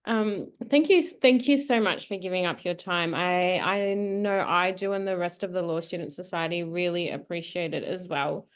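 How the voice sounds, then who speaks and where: Young woman, low-ish voice, polite and slightly hesitant interviewer. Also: moderate pace, few pauses, clear, resonant tone. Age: young adult. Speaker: student interviewer. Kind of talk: interview questions.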